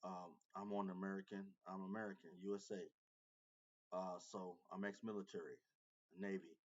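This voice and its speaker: A man's voice: sits low, flat delivery, moderate pace, lo-fi sound. A man hosting a reaction video, commenting on a clip with emphatic, repetitive casual talk.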